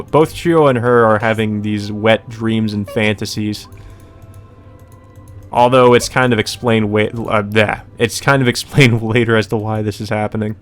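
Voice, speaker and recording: A man doing a casual let's play, reading story text aloud and adding informal, sweary asides. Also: lowish voice. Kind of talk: casual commentary and read-aloud narration.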